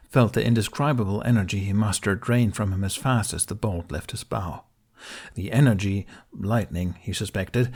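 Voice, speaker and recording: A man's voice: fairly low pitch, darkish tone, slightly rough. A man reading an audiobook of fantasy fiction as a dramatic, intense narrator.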